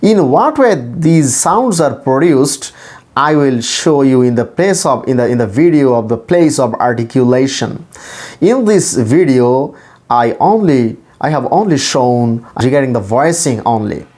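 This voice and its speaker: A man teaching English pronunciation in a deliberate, repetitive instructional way, sounding out individual sounds. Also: mid-range voice, lively intonation, a little mellow. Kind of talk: instructional pronunciation lesson to camera or screen.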